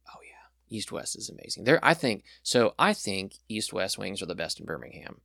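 A man's voice: deep voice, big pitch swings, fairly quick, creaky, pushed and bright, no room sound, studio quality. A young man chatting as a podcast guest, rambling and enthusiastic with lots of fillers. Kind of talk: casual chat.

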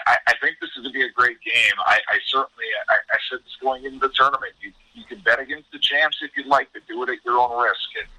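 A man's voice: mid-range voice, bright, forceful tone, poor audio. An American man giving earnest, emphatic sports analysis in a conversational style as a guest commentator, often repeating words as he goes. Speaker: college hockey commentator as podcast guest.

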